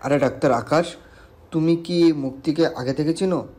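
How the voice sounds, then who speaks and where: An actor playing a calm, reassuring senior doctor in a Bengali audio story, speaking in a measured way. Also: male voice, fairly high pitch, lightly acted. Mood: concerned.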